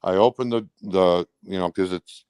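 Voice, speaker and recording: A man with a very low voice and a clear, smooth tone speaks casually as an interview guest. He recounts things in a blunt, rambling, everyday way, sometimes coarse in his wording. The sound is close and dry, with very little room in it. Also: moderate pace, darkish tone, no room sound. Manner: rambling, blunt, colloquial with swearing. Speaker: truck driver. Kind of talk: casual recounting of personal events.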